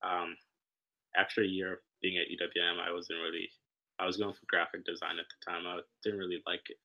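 A young man from Sudan, raised in Kenya, speaking as a podcast guest in a relaxed, halting way with many fillers. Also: low-pitched, lo-fi sound. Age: young adult. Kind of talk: casual interview conversation.

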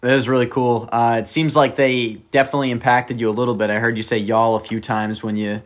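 A man's voice: medium pitch, flat delivery, fast talker. Easygoing male interviewer. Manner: easygoing, hesitant with fillers. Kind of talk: casual interview questions.

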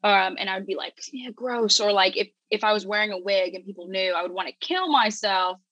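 A young woman chatting as a podcast guest, candid and animated, full of "like" and restarts. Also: mid-range voice, a little fast, no room sound, muffled recording. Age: young adult. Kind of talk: casual podcast chat.

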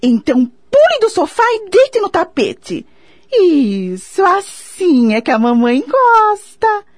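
Actress as doting older neighbor lady, Brazilian, radio drama; very animated, unhurried, fussy cooing. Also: very high-pitched, no room sound, studio quality, exaggerated voice. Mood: fussy, cooing scolding. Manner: fussy, cooing baby talk and scolding. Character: kindly older neighbor lady, doting mother to her dog.

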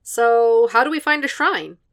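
An earnest, brash young man whose lines jump up high and loud, as a woman reading the story puts him on. He pushes his words out with eager energy. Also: lively intonation, unhurried. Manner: eager, rising, loud bursts.

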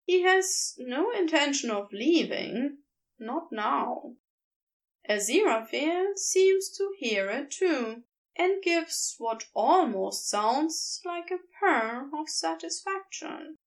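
A woman narrating a fan fiction story aloud in an expressive, measured storytelling manner. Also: high voice. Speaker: podfic reader.

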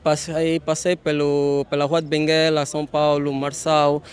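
A man from Angola with a clear, smooth, fairly high voice that stays flat in tone. He introduces himself as a photographer in an easy, relaxed way. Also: unhurried. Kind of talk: interview answer.

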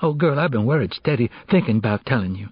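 A man's voice: medium pitch, lively intonation, fast talker, husky, no room sound, dull audio, pitched above the performer's own voice. A man voicing an evasive, lovestruck teenage girl in an audiobook, slangy, reluctant and defensive as she confesses. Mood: guilty, pleading.

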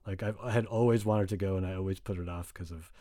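A man's voice: sits low, fairly even intonation, fast talker, warm tone, dry recording, clean studio sound. A young man from the United States, a guest in a casual conversation, rambling with many fillers and restarts.

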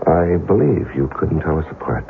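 A man's voice: extremely low pitch, sing-song, normal speed, vocal fry, exaggerated voice. An American actor playing a shrewd, wary man in a radio drama, speaking in a wry, sceptical way. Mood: wary, reluctant. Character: shrewd, wary man being drawn into a murder plot. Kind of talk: 1970s radio drama acting. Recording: tinny, faintly hissy old radio broadcast.

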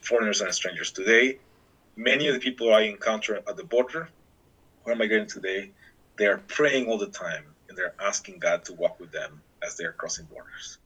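A middle-aged man from Guatemala, speaking haltingly in an interview, with restarts and fillers. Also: lowish voice, pushed and bright, breathy edge, poor audio. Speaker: interviewee, church worker. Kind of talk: reflective interview talk.

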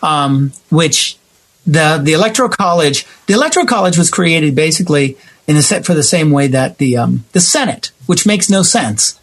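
An American man talking casually about politics in an emphatic, explanatory way, repeating phrases for stress, with a husky voice. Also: fairly high pitch, lively intonation, normal speed, fairly bright tone.

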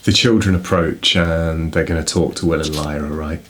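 Deep-voiced man joking through a podcast chat. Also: fairly even intonation, normal speed, noisy background. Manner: playful, punning, self-deprecating. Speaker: podcast co-host. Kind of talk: casual book podcast chat.

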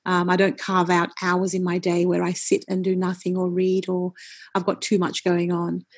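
A middle-aged woman, a wellness coach, talking in a casual interview, forceful and passionate, with many quick restarts. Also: on the low side, completely flat delivery, husky. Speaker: nutritionist and wellness coach, guest.

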